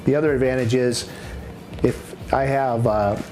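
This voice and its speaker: A man giving an unscripted product demonstration in a halting, matter-of-fact way, as a presenter explaining equipment. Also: medium pitch, fairly even intonation, a little mellow, faint music behind. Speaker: presenter demonstrating radio gear. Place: indoors in a house.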